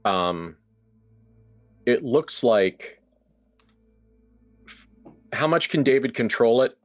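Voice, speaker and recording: A man's voice: mid-range voice, animated, slow, highs cut off. A man improvising narration for a tabletop roleplaying game in a casual way, thinking aloud as he goes. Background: music.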